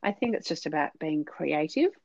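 A woman's voice: sits low, lively intonation, moderate pace, gravelly, darkish tone, no room sound, dull audio. A woman speaking as a podcast guest, earnest and explanatory, with frequent fillers. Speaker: podcast guest, business consultant. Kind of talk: conversational interview answers.

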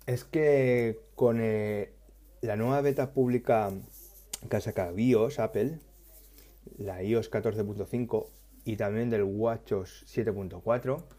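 A man chatting casually about tech news, halting often and correcting himself as he goes. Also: lowish voice, fairly even intonation, mellow. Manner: halting, restarts and self-corrections, musing. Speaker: tech vlogger.

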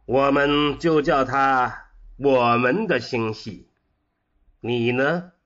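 A man's voice: mid-range voice, takes it slowly, fairly bright tone, muffled recording. A man playing an immortal, disembodied human mind, curious and melancholy, in a science fiction audio drama. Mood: curious, musing.

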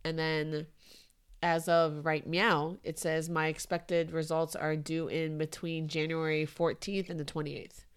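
A woman from Chile co-hosting a podcast in casual chat, teasing and quick with banter. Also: deep voice, little pitch movement, smooth. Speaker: podcast co-host.

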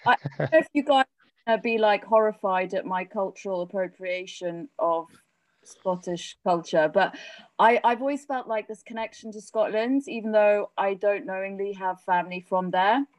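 A woman's voice: medium pitch, normal speed, no room sound, poor audio. An English woman chatting casually, enthusiastic and full of fillers. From England. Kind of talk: casual chat.

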